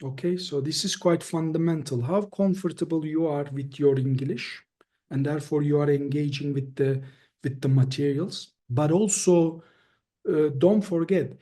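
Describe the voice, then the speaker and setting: A man's voice: fairly high pitch, fairly even intonation, moderate pace, warm tone. A man answering questions as an expert guest in a webinar, explaining carefully and hesitantly, often restarting phrases, heard over a video call.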